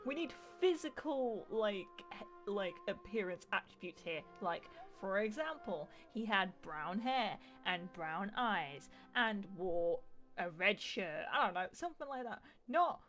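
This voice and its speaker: A woman with a mid-pitched voice commenting casually as she plays a game, soft music underneath. She is informal and sarcastic, and swears freely. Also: expressive, measured pace. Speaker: gaming video host.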